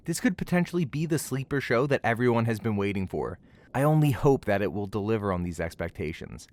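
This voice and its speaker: A man narrating a scripted but casual review as a video host, in a conversational, emphatic and playful way. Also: mid-range voice. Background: music.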